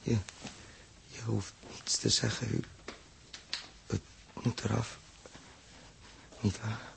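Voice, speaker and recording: A badly hurt young spaceman in an old radio serial. His weak voice is deeply gravelly and flat in pitch, dragging through halting phrases. He sounds resigned and barely conscious, over faint recording noise. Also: heavily acted. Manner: halting, slow, broken sentences. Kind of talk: 1960s radio drama acting.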